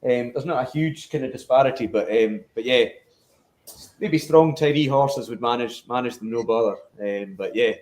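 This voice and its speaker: A man's voice: medium pitch, moderate pace, darkish tone. A man, a distillery co-founder, chatting in an easygoing, rambling way. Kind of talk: casual chat. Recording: echoey room.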